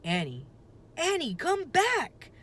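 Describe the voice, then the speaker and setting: A woman's voice: high voice, wildly swooping pitch, slow pace, slight background noise, pitched above the performer's own voice, exaggerated voice. A woman voicing an anxious young boy in a storybook, urgently calling out warnings to his sister. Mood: urgent, alarmed. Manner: urgent exclamations, shouting and warning.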